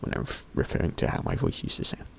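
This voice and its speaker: A teenage boy with a very deep voice, rambling casually to camera in a vlog, often stopping himself mid-sentence and swearing offhandedly. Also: quick pace, fairly bright tone, slight background noise. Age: teens. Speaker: YouTuber.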